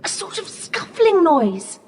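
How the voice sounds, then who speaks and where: Timid British little girl, very high, lilting, hesitant, children's audio drama, long reverb. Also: sing-song, takes it slowly, a little mellow, exaggerated voice. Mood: uneasy. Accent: British English. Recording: echoing, faintly hissy cassette tape.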